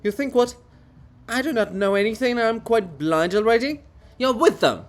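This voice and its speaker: A man acts out a stone monument come alive, a self-declared genius in a stage farce. He is haughty and paranoid, ranting in a highish voice that swings widely in pitch. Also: expressive, moderate pace. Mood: suspicious, accusing. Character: living Bulgakov monument, raging, paranoid, grandiose man of genius. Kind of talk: theatrical dramatic reading of a farce.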